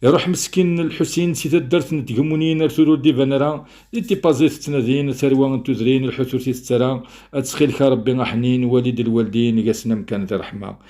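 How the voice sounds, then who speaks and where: A man's voice: medium pitch, steady tone, fast talker, warm tone. A man with a Kabyle accent solemnly reciting a poem of mourning in rhythmic verse. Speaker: amateur poet. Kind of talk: poetry recitation, elegy.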